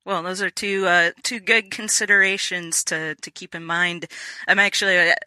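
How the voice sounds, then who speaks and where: Bright-voiced man hosting a podcast. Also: high-pitched, moderate pace, dry recording. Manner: relaxed, conversational, frequent agreeing fillers. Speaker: podcast host. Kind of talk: podcast interview.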